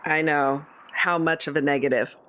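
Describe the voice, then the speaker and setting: A woman's voice: low voice, steady tone, normal speed, a bit bright, a little hiss or hum, rough recording. A woman playing a tabletop roleplaying game, talking casually about what her character will do.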